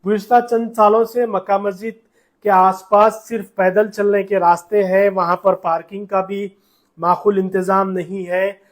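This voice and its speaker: High-voiced man, flat news narration. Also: moderate pace. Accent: Hyderabadi Urdu. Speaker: news reporter.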